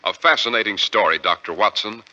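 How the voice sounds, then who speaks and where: A man's voice: fairly low pitch, brisk. A man with an American accent announcing in a formal, polished 1940s scripted radio style. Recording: dull vintage radio recording. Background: organ music.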